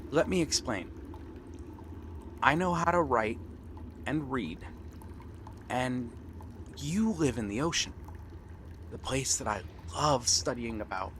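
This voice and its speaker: Clear water ambience surrounds an eager young pirate prince, acted by a man. He speaks slowly and earnestly in a medium-pitched voice, pausing often. The delivery is gentle and friendly, in the style of an ASMR fantasy roleplay. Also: lively intonation, slight background noise. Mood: eager, hopeful. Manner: earnest, gentle, unhurried talk with pauses. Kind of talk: ASMR roleplay acting, one-sided dialogue. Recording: water and ambient sound effects behind. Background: seaside ambience, water sounds.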